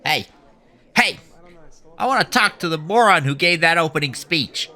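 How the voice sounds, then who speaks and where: An actor playing an indignant, principled activist arguing emphatically in an audio drama. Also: male voice, on the high side, animated, normal speed, pushed and bright, some chatter behind. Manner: emphatic, argumentative. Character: indignant, principled alien-rights activist, sticks to facts.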